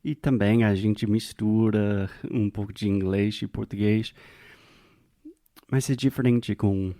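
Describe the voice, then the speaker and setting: A man's voice: on the low side, steady tone, takes it slowly, warm tone, no echo, studio quality. A man from the United States, a native English speaker with an American accent, co-hosting a podcast and speaking in an earnest, reflective way. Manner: earnest and reflective, thinks aloud. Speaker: podcast co-host. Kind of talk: casual conversation.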